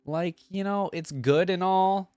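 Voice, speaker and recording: A man's voice: high voice, a little slow, a little mellow, smooth, no room sound, soft background music. A man giving casual, informal reaction commentary, thinking aloud as he goes with plenty of filler words. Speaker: reaction video host.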